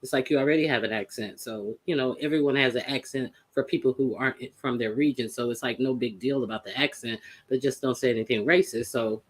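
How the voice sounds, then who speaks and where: A man's voice: medium pitch, steady tone, fast talker, few pauses, husky, low-quality recording. A man who joined a casual live chat to speak, arguing point by point. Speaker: audience member brought up to speak.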